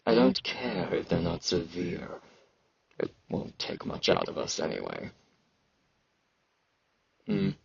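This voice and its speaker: A man playing a weary, aloof immortal fantasy knight in an intimate ASMR roleplay, slow and brooding, with a gravelly, husky voice and sibilant S sounds. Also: deep voice, huge pitch swings, dull audio, heavily acted. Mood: detached, reassuring. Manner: slow, brooding, casual about grim things.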